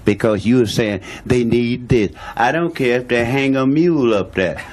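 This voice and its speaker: A man's voice: mid-range voice, normal speed, a little mellow. A man, speaking bluntly and emphatically as a guest on a talk show and repeating his points. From Louisiana, USA. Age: 60s. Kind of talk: impassioned talk show statement.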